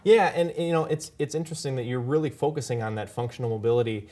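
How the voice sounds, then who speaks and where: A man's voice: mid-range voice, quick pace, a little mellow. A man in his thirties hosting a talk show, interviewing guests in a conversational way. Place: small TV studio set, indoors.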